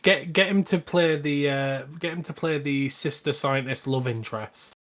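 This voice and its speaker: A man's voice: fairly high pitch, moderate pace, fairly bright tone. A man co-hosting a podcast, talking casually about films. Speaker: podcast co-host. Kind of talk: casual film discussion.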